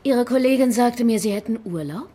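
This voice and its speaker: A woman's voice: a little high, brisk, warm tone, slightly rough. An actress playing a cool, self-assured, flirtatious woman with a mocking manner in a German radio drama. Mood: teasing, probing. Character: cool, teasing seductive woman under police suspicion.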